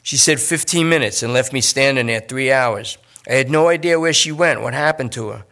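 A man voicing a jittery, talkative male junkie who rambles and pleads in fast bursts. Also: mid-range voice, pushed and bright. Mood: pleading.

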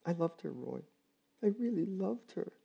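A man's voice: sits high, huge pitch swings, fairly quick, dull audio, pitched above the performer's own voice, full character voice. A man voicing a grieving, tearful woman. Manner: soft, tearful, breaking off mid-sentence. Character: grieving woman neighbor, distraught and choked. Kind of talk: audiobook character reading.

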